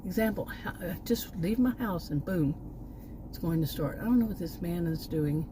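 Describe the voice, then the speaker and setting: A woman's voice: on the low side, expressive, normal speed, noisy background. A middle-aged American woman chatting casually and digressively, often trailing off and circling back to her point. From Texas, United States. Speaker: vlogger. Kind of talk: casual rambling chit-chat. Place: outdoors near a park.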